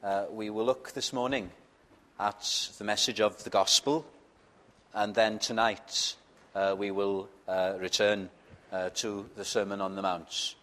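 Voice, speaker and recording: Man preaching, first language Welsh, very slow delivery, earnest, hesitant, church sermon. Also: lowish voice, level tone, pushed and bright.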